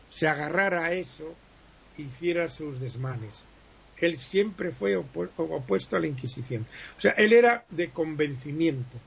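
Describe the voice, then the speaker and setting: A man explains history in a relaxed radio interview. He digresses and pauses often, correcting himself as he goes. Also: fairly high pitch, gravelly, slightly rough. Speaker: history guest speaker. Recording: muffled lo-fi broadcast sound.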